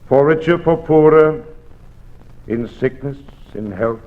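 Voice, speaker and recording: An actor playing a solemn male minister reading wedding vows with ceremonial, measured phrasing in 1940s radio style. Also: mid-range voice, natural voice. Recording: tinny, narrow old radio recording.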